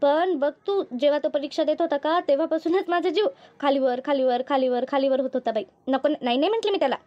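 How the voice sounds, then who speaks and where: A woman's voice: sits high, fast, no room sound, highs cut off, natural voice. A woman voicing an anxious, tender-hearted older village aunt with a rural Maharashtrian accent, fretfully repeating herself in an amateur cartoon dub. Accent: rural Maharashtra Marathi. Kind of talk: amateur Marathi cartoon dubbing.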